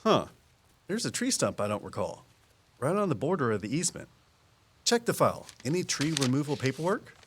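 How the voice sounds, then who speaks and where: An actor playing an easygoing small-town conservation officer in an audio drama, explaining things in a folksy, patient way. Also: male voice, mid-range voice, very animated, brisk, slight background noise, close to an everyday voice. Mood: measured, puzzled.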